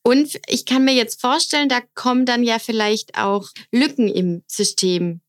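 A woman hosting a podcast interview, asking friendly, encouraging questions and backing her guest with steady listening sounds. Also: a little high, unhurried. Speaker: podcast host, education officer.

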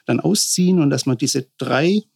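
Man, gravelly low creak, architect in a podcast interview, thoughtful with fillers. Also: animated, normal speed, darkish tone. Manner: thoughtful, explaining with many fillers.

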